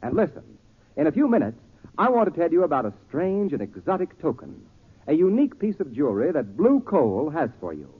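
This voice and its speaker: A tinny, muddy old radio recording carries a male announcer. His fairly high voice swings up and down with emphatic salesmanship, in the polished scripted manner of 1940s broadcasting. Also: huge pitch swings, brisk. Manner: emphatic, declamatory sales delivery. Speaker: announcer and sponsor pitchman.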